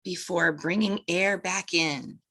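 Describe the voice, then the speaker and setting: A woman guiding breathwork and meditation as an instructor, gently giving instructions in short phrases with pauses between them. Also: lowish voice, steady tone, slightly rough.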